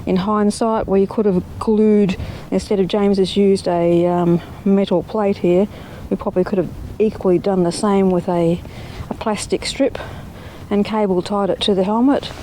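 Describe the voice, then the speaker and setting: Plain-spoken woman, mellow, some outdoor noise. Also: mid-range voice, steady tone, normal speed, poor audio. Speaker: presenter of a recumbent trike vlog. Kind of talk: casual explanatory demonstration and chat.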